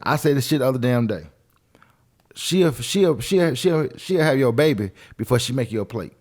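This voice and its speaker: Man, mid-pitched voice, casual podcast chat, opinionated, emphatic, repetitive phrasing. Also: lively intonation, moderate pace, a little mellow. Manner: emphatic, repeats phrases for effect. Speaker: podcast co-host.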